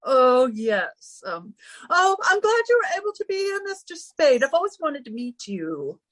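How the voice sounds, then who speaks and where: A woman's voice: high voice, huge pitch swings, unhurried, no echo, heavily acted. A woman playing a vain, flirtatious wealthy society hostess, gushing and affected, in 1940s radio style. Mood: coy, gushing. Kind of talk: recreated 1940s radio comedy-drama acting.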